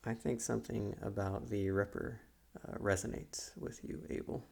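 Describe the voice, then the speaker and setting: A performer playing a calm, softly menacing male psychiatrist in an ASMR roleplay, speaking in a hushed, deliberate, soothing way with long pauses. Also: sits low, creaky, husky, full character voice. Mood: probing, measured. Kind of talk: ASMR roleplay, slow hushed dramatic delivery.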